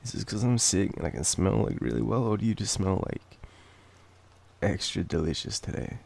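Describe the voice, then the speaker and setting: An Australian man with a cold speaks in a soft, halting, affectionate way, as in an intimate boyfriend roleplay. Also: lowish voice, animated, gravelly, breathy edge. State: sick with a cold. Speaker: audio roleplay performer playing an affectionate sick boyfriend. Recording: background noise of rain. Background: rain ambience.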